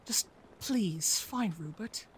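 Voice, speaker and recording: A woman's voice: medium pitch, animated, takes it slowly, breathy edge, full character voice. A woman playing a distressed, effusive lady pleading for her missing cat in an audio drama. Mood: anxious pleading. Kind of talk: scripted audio drama acting.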